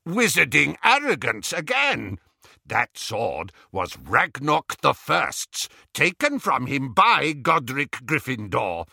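Man voicing a stern, proud goblin with deliberate speech. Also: low voice, sing-song, creaky, bright, forceful tone, husky, no room sound, studio quality, exaggerated voice. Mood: angry, indignant. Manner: firm, deliberate, formal speech. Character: stern, proud, easily angered male goblin. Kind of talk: audiobook character voice.

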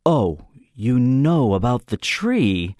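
A man voicing a tense teenage boy who jokes loudly to hide his nerves, strained and offhand. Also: medium pitch, big pitch swings, slow, dry recording, clean studio sound, pitched above the performer's own voice. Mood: nervous joking. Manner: strained, offhand, overloud bluster.

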